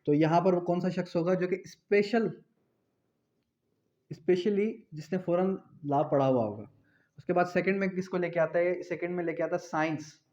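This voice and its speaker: A man's voice: high-pitched, moderate pace, darkish tone. A man with a Pakistani accent giving an explanatory law lecture, mixing in English terms, repeating phrases and posing questions that he then answers. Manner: didactic, repeats phrases and asks himself questions. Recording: dull, lo-fi sound.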